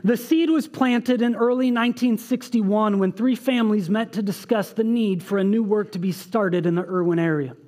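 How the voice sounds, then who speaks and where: High-voiced man preaching a sermon. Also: moderate pace, hardly any pauses, darkish tone. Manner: earnest, reading aloud with asides. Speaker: pastor. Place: church stage with band behind.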